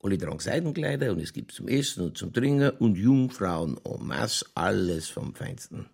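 A man playing an older, folksy Bavarian police chief in a radio crime drama, sly and skeptical, with a colloquial, dry, placating manner and a gravelly voice. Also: fairly low pitch, expressive, normal speed, a little mellow, full character voice. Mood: musing, skeptical.

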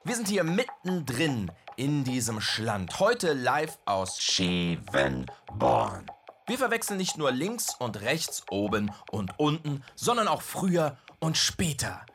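A woman playing an ominous Big Brother doomsday narrator in a radio play, with a theatrical, deliberate delivery. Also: very deep voice, huge pitch swings, a little hiss or hum, full character voice.

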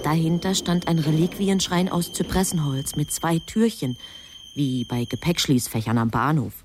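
A woman's voice: deep voice, normal speed, darkish tone, a little hiss or hum. A woman reading scripted literary narration as the narrator of a radio drama.

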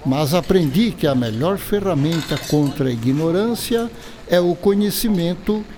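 A man's voice: fairly high pitch, lively intonation, moderate pace, warm tone, natural voice. An actor playing a courteous, reflective male doctor and scientist with a didactic manner and a Brazilian accent in an educational radio drama. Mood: reflective, explanatory.

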